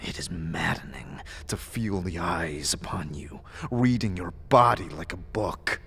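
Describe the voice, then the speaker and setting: A man's voice: sits low, a little slow, creaky, pushed and bright, a little hiss or hum, pitched below the performer's own voice, full character voice. A man voicing a paranoid, mad earlier self of an immortal man in an audio drama, erratic between sly muttering and frantic outbursts. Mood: bitter, uneasy.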